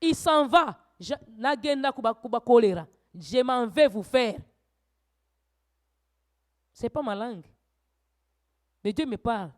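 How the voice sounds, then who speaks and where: A woman preacher teaching a church sermon, swinging from quiet asides to loud, urgent exhortations. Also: fairly high pitch, expressive, slow pace, pauses often.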